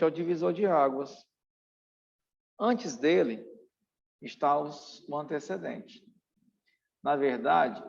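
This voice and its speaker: A man's voice: on the high side, vocal fry, a little mellow. A Brazilian man giving an exam-prep lecture as a teacher, in a didactic, emphatic way that repeats key points. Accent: Brazilian Portuguese. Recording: dull, muffled, long room reverb.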